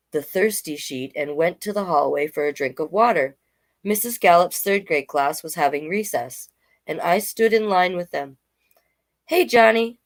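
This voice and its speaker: A woman hosting a storytime, reading aloud to children and chatting to listeners with questions and asides. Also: fairly low pitch. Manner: conversational, addresses listeners with questions.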